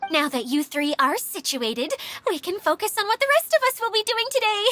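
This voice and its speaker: Bright, high-voiced bubbly mascot girl. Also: very animated, a little fast, slight background noise, heavily acted. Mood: businesslike. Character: bubbly, self-doubting young female caretaker mascot. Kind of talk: video game cutscene voice acting.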